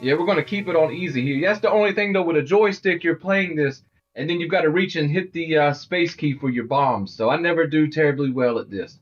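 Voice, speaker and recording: Loud, chatty male gaming streamer. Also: on the high side, fairly quick, lo-fi sound. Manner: loud, chatty, talks to viewers. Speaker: livestream host. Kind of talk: casual gaming livestream chat.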